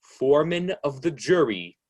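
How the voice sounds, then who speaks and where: A man playing an ominous, all-knowing radio mystery narrator who speaks in a sardonic, accusing and deliberate way. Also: fairly high pitch, very animated, a little mellow. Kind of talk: old-time radio mystery narration, second person.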